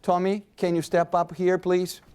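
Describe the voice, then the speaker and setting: A man's voice: high voice, monotone, moderate pace, darkish tone, clear, resonant tone. A man speaking at an academic conference panel, conversational and full of restarts. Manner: conversational, many restarts and fillers. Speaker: conference presenter.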